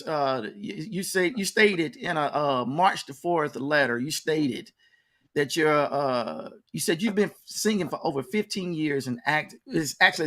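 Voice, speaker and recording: A man's voice: on the high side, a bit bright. A man hosting a casual interview show in a folksy, hyped-up manner, often repeating words for emphasis.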